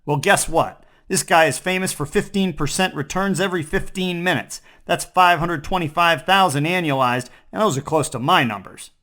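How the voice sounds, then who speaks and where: A man's voice: on the high side, moderate pace, few pauses, pushed and bright. A man voicing a brash, greedy Wall Street financier in a glib, boastful, blunt way for a comic newscast.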